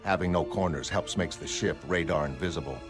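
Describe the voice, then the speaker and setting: A wistful, middle-aged American ex-crime fighter acted by a man from a motion comic, with music playing under the voice. He speaks fairly low, in a hesitant and self-effacing way. He comes across as mild and unsure of himself. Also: a little fast, lightly acted. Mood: earnest, matter-of-fact. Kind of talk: dramatic motion comic character acting.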